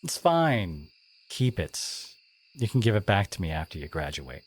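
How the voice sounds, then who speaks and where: Low-voiced, sardonic teenage school bully played by a man. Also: big pitch swings, full character voice. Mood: gruff, caring. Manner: halting, soft-edged, sardonic, confiding. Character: gruff teenage school bully, secretly wounded and caring. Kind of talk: intimate audio roleplay monologue.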